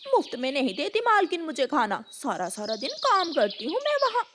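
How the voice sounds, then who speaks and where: A woman's voice: high-pitched, wildly swooping pitch, a little fast, noisy background. A woman voicing a poor crow maid and mother, anxious and pleading, in a children's cartoon. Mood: complaining. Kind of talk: Hindi children's moral cartoon dialogue.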